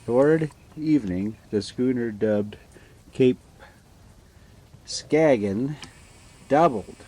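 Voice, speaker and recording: A man reading a story aloud casually and haltingly, stumbling over foreign names. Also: on the low side, warm tone. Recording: noticeable background noise.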